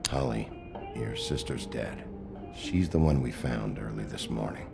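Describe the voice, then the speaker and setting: Gruff detective, extremely low voice, weary, terse, loud music behind, game character. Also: male voice, lively intonation, unhurried, exaggerated voice. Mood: grave, subdued. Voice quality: gravelly. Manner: terse, restrained. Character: gruff, weary big bad wolf detective.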